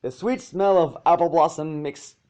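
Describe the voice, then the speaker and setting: A man's voice: fairly high pitch, moderate pace, darkish tone. A man reading a story aloud as narrator, sometimes stumbling over and repeating phrases. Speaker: narrator of fan fiction.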